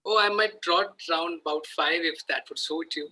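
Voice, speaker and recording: Guy reading a fussy victorian country gent, really bright forceful voice, kinda raspy, stage play. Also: fairly high pitch, moderate pace, full character voice, low-quality recording. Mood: offhand. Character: evasive, easily exasperated Victorian country gentleman. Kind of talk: amateur play table read.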